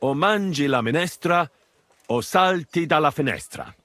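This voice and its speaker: An actor playing a plain-spoken, earnest young hero in a video game, with a dry, understated manner. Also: male voice, a little high, lively intonation, moderate pace, a bit bright, no room sound. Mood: attentive.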